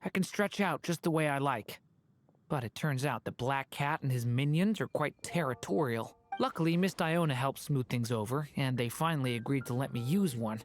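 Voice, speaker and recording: A woman voicing a gentle, earnest young boy who used to be a dragon, soft-spoken and a little hesitant. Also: extremely low pitch, normal speed, faint music behind. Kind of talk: game voice acting.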